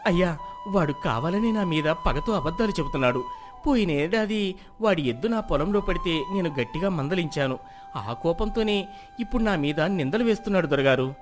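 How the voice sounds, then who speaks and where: A humble farmer under accusation played by a man protests and pleads. The delivery is defensive and lively, in dramatized folk-tale style, with music underneath. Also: high-pitched, moderate pace. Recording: music behind the voice.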